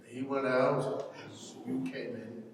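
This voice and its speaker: Stubborn old Irish farmer father read by a man, slow and weighty, distant in a very reverberant hall. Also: mid-range voice, steady tone, lightly acted. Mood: overbearing, bargaining. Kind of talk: dramatic stage reading of a play. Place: large reverberant indoor hall, from far off. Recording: very echoey hall, distant mic, noisy.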